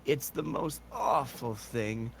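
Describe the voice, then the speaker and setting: A man voicing a self-serious, pathetic, dopey troll in a comic chat-log reading, with pompous, wordy, halting speech. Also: medium pitch, creaky, slight background noise. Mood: smug, ominous.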